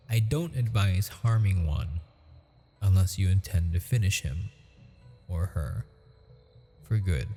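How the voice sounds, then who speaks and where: A man voicing a gentle, teasing male ghost who speaks softly and intimately in an ASMR audio roleplay, chuckling often. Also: low voice, slightly rough, exaggerated voice. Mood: musing.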